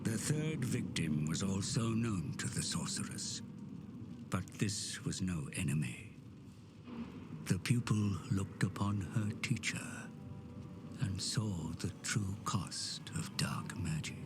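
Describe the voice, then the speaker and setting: A man giving grave, scripted narration for game cutscenes, slow and measured, dropping at times to a hushed whisper. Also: low-pitched, animated, over loud music. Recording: studio narration over loud orchestral score.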